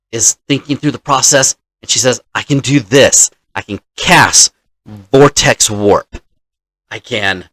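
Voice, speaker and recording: Man telling a tabletop game story, slow-paced. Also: medium pitch, a bit bright, no echo, rough recording. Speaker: host, dungeon master.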